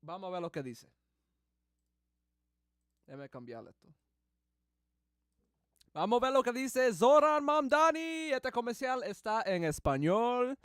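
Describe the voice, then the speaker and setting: A man hosting a livestream, reacting excitedly and switching between English and Spanish, with English as his first language. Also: very high voice, takes it slowly, pauses often, dry recording, clean studio sound. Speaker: livestream host. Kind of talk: casual reaction commentary.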